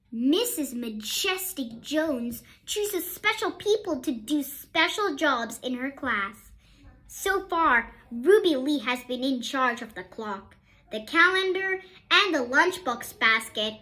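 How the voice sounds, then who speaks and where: A woman's voice: high-pitched, animated, slow, sharp tone. A woman reading a children's picture book aloud expressively, with questioning asides to young listeners. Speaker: storybook reader.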